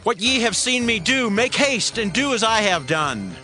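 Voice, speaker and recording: An actor playing a ruthless, proud warlord king with a gravelly, forceful voice in a dramatized audio Bible. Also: high-pitched, very animated, moderate pace, faint music behind, heavily acted. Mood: urgent command.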